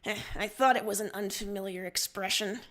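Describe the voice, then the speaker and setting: A woman's voice: mid-range voice, steady tone, brisk, fairly bright tone, lightly acted. A woman voicing a defensive, self-deprecating story protagonist who snaps and hisses retorts. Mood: retorting. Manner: snapping, hissing retorts. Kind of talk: dramatic fan fiction reading.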